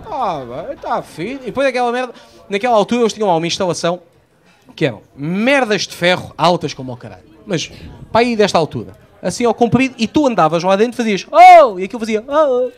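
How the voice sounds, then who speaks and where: A man with a high, light voice and a European Portuguese accent tells his stories live on a small open-air stage at night. He is in his thirties and holds the microphone in his hand. He tells his tales with energy and acts out the people in them. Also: quick pace. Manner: animated storytelling, acting out quoted voices. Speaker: stand-up comedian. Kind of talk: live stand-up routine. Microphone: handheld microphone.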